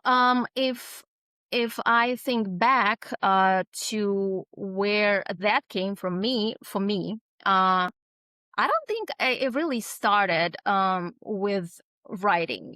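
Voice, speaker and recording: A woman's voice: mid-range voice, slow, a bit bright, clear, resonant tone, no echo. A woman author answering interview questions reflectively, often checking in with short tag questions. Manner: reflective, frequent tag questions like "right?" and "you know?". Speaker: author, podcast guest.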